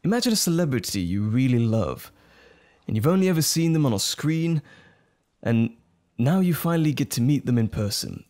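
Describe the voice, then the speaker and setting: Man acting as nervous romantic date, lively intonation. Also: medium pitch, moderate pace, lightly acted. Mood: tender, smitten.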